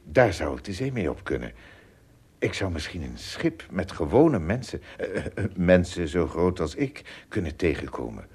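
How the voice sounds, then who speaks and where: Gentle giant castaway: extremely deep, lively intonation, hesitant, good-hearted. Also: male voice, normal speed. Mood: earnest. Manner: hesitant, repeating words, thinking aloud. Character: good-hearted, principled shipwrecked adult traveler, a giant here. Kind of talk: radio play acting.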